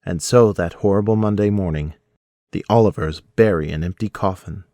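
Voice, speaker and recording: A man narrating a scripted audio drama in a measured, solemn storytelling manner. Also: deep voice, dark tone, no room sound, studio quality.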